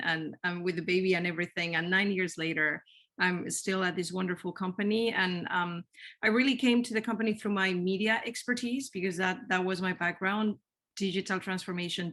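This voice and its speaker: A woman's voice: on the low side, steady tone, a little fast, fairly bright tone. A woman in her forties from Spain, a marketing executive answering interview questions earnestly, often repeating words as she restarts. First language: Spanish. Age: 40s.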